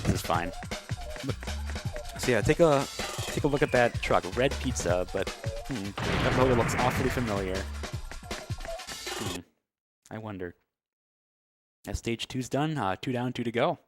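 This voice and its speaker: Wry male speedrunner over loud game music. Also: mid-range voice, a little slow, pauses often. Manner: wry, understated joking. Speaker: speedrunner commentator. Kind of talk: casual live speedrun commentary. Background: loud game music and sound effects.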